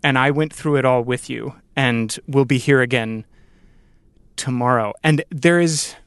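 A man's voice: medium pitch, unhurried, a bit bright, clean tone, no echo. A man hosting a podcast interview, musing earnestly and reflectively in a conversational way.

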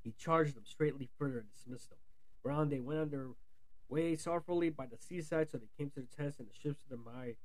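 Man, somewhat high voice, dramatic epic narration, reading aloud, occasional stumbles. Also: a little fast, no room sound, rough recording. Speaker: podcast host reading aloud. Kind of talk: dramatic audiobook-style narration of an epic poem.

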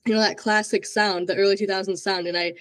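A woman's voice: mid-range voice, fairly even intonation, fast talker, a bit bright, no echo. A woman hosting a podcast interview, upbeat and enthusiastic, praising her guest often. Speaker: podcast host. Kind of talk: casual interview chat.

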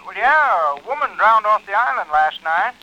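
A folksy, plain-spoken man on the other end of a telephone in an old radio play. His voice is high and very sharp through the filter. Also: big pitch swings, moderate pace, exaggerated voice, poor audio. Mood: matter-of-fact, sympathetic. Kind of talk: old-time radio drama acting.

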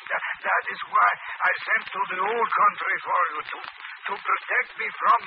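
Creaky, frail old miser. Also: male voice, high-pitched. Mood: doting, weary. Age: older. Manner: halting, repeating himself, pleading. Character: frail, fearful old miser collector from the old country. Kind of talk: 1940s radio acting. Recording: muffled, noisy old radio recording.

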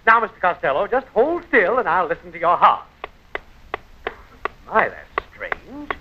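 A man playing a pompous, touchy quack doctor in 1940s radio comedy, deliberate and quick to take offence. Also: high voice, wildly swooping pitch, exaggerated voice. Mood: brisk, officious. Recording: noisy, muffled old radio broadcast.

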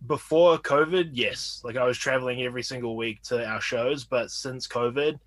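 A man in his thirties with a New Zealand accent talks casually as a guest from home. He is easygoing, laughs often and calls people "man" and "dude". His voice sits in an ordinary middle pitch. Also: moderate pace, fairly bright tone. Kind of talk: casual interview chat.

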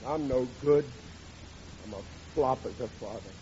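A man's voice: mid-range voice, a little slow, warm tone, natural voice. An actor playing a melodramatic, woeful middle-aged working-class father in 1940s radio comedy style, whining and ungrammatical. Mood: gloomy self-pity. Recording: dull noisy old radio recording.

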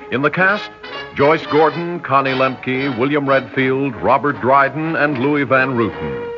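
A male announcer reads scripted radio drama credits in a formal, polished way. His mid-pitched voice moves with plenty of lift. Music plays clearly behind him on a dull vintage recording.

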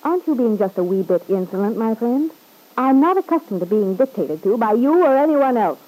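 A proud, irritated wife in an old radio play. She answers in clipped, indignant lines with a mellow, warm timbre. Her pitch moves in wide, lively swings. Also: fairly quick, rough recording. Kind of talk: 1940s radio drama acting.

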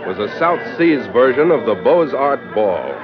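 An actor playing a tough, sardonic ship's captain in an old-time radio adventure, wry and hard-boiled. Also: male voice, mid-range voice, big pitch swings, moderate pace, lightly acted. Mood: wry storytelling. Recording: muffled vintage radio recording with music.

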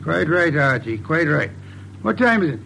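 Commanding detective, gravelly, higher-pitched male voice, pompous and precise, vintage radio drama. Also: very animated, moderate pace, sharp tone, slight background noise, full character voice, low-quality recording. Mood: smug, amused. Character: shrewd, commanding, self-assured male master detective. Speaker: lead detective. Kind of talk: 1940s radio acting.

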